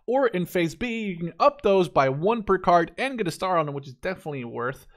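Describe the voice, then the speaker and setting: Higher-voiced young man doing casual game commentary. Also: expressive, fairly quick. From Netherlands. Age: 20s. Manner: rambling, opinionated, informal with casual swearing. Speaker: gaming YouTuber reviewing a game deck. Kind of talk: casual unscripted gameplay commentary.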